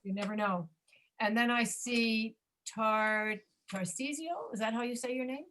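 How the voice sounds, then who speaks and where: A woman's voice: a little high, unhurried, fairly bright tone. A woman teaching a pronunciation class, chatty and friendly with the students. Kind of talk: casual online class.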